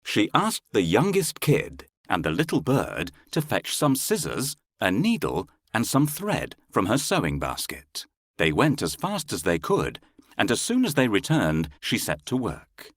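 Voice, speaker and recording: A man's voice: fairly low pitch, huge pitch swings, unhurried, no room sound, studio quality. A man narrating a children's fairy tale as a scripted storyteller.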